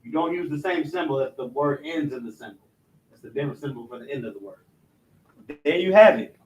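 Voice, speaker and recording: A man's voice: mid-range voice, lively intonation, a little fast, low-quality recording. A man giving an informal class, explaining step by step, often stumbling and restarting and checking in with his listeners. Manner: loose and repetitive, stumbles and restarts, keeps asking "you know what I'm saying". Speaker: religious language instructor.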